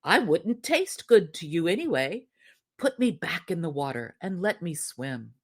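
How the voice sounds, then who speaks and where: A woman voicing an enchanted prince in the shape of a golden fish, solemn and measured, in a storybook. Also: fairly low pitch, very animated, slightly rough, heavily acted. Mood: pleading.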